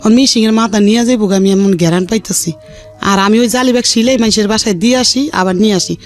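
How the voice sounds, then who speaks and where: A middle-aged woman speaks in colloquial Bangladeshi speech, recounting her own life to an interviewer. She is plain-spoken and rambles from detail to detail. Soft music plays faintly behind her. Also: mid-range voice, fast. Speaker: interview guest. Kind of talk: personal life-story account.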